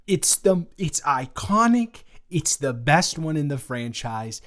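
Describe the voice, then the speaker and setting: A young American man improvising a casual roleplay chat, talking emphatically and haltingly, repeating words for stress. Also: on the high side, very animated, fairly bright tone. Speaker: audio roleplay performer.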